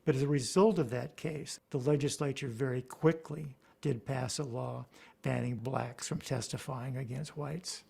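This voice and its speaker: A man's voice: mid-range voice, fairly even intonation, normal speed, vocal fry, a little mellow, slightly rough. A man offering considered commentary on history in a documentary interview.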